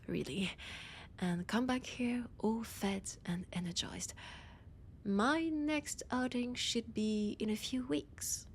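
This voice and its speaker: A woman playing a possessive vampire scholar with a French accent, in a theatrical, breathy audio roleplay monologue with dramatic pauses. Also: mid-range voice, slight background noise. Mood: haughty, hungry. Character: annoyed, possessive female vampire scholar, ancient library guide.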